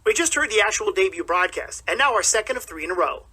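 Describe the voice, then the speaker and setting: A man presenting as a classic radio host in an upbeat style, with a husky edge to his voice. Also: sits high, lively intonation, fast talker, bright, forceful tone.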